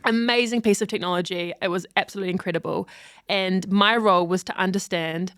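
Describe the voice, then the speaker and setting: A woman co-hosting a podcast, telling her story in animated, casual chat. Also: mid-range voice, quick pace, smooth, no room sound. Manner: animated storytelling, laughs often, repeats words. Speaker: podcast co-host.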